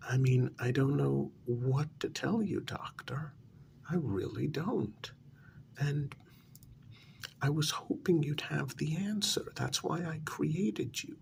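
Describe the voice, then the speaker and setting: A man playing an eerily calm asylum patient in a soft ASMR role-play, breathy and gravelly, with a measured, hesitant, quietly condescending delivery. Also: on the high side, slight background noise. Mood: pensive, unsettled. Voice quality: gravelly, breathy, half-whispered. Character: eerily calm male asylum patient who believes he creates reality.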